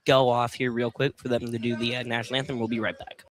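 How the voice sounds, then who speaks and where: Casting: male sports radio announcer, sharp bright tone, halting live delivery, noisy venue behind. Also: medium pitch, level tone. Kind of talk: live basketball commentary.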